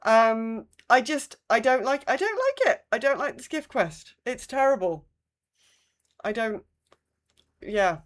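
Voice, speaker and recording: A woman's voice: fairly high pitch, very animated, unhurried, pauses often. A woman co-hosting a casual gaming chat podcast, griping bluntly in an exasperated, sweary way. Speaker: podcast co-host. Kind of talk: casual chat about a video game.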